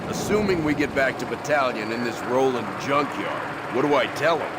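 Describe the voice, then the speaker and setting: An actor playing a gruff, wisecracking American army sergeant in a war game, sarcastic and brash. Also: male voice, mid-range voice, normal speed, close to an everyday voice. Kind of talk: cinematic war game acting. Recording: loud vehicle and battle noise behind.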